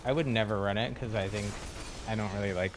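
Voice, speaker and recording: A man's voice: on the low side, fast talker, background noise. A man giving easygoing, casual gameplay commentary as a game streamer. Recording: loud game music behind. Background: loud video game music.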